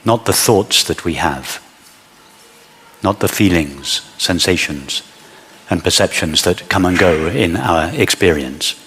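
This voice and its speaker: A man leading a guided meditation as a teacher, speaking in measured short phrases with long pauses. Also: deep voice, animated, a bit bright, long reverb tail, a little hiss or hum.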